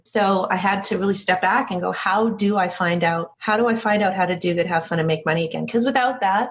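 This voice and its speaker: A woman speaking as an interview guest, candid and informal, emphatic and often interrupting herself as she talks. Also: fairly low pitch. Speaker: interview guest, business founder.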